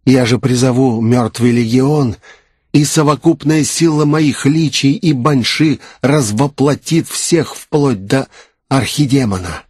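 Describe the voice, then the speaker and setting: A man's voice: mid-range voice, takes it slowly, darkish tone, slightly rough, no echo, clean studio sound, voice pushed up. A man voicing an assured, composed naga necromancer who explains his schemes in an audiobook.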